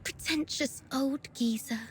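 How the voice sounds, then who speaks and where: A woman's voice: sits high, a little slow, slight background noise, poor audio. An actress playing a sly, taunting young captive girl in a game. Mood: mocking. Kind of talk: game voice acting.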